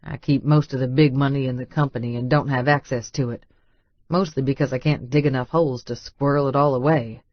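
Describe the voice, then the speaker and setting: A man's voice: mid-range voice, fairly even intonation, fairly quick, dry recording, dull audio, lightly acted. Man acting a blunt redneck billionaire, dry sound. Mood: matter-of-fact, cocky. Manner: folksy, blunt, colloquial. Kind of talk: audiobook character dialogue.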